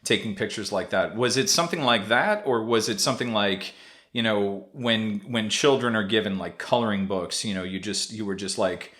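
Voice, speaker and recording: A man with a fairly low voice hosts a casual interview podcast. He asks his questions in long, rambling stretches, restarting often and padding them with fillers. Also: moderate pace, a bit bright. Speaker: podcast host.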